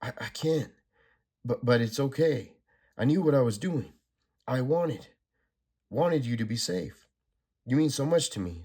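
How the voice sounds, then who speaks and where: A man's voice: mid-range voice, husky, pitched above the performer's own voice. A man reading a comic aloud, voicing a fierce, selfless young superheroine in a strained, raised voice. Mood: tender. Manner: raised, strained, shouting delivery.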